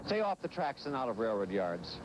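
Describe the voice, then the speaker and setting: Man, lively intonation, earnest PSA testimonial, clear outdoor noise, old tape. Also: medium pitch, brisk. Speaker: public service announcement spokesman. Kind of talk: earnest scripted testimonial warning.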